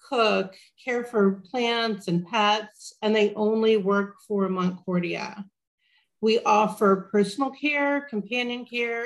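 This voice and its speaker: A woman in business development introducing herself and her company at a virtual event, upbeat and promotional. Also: rough recording. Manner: upbeat, pitch-like delivery. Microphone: video call.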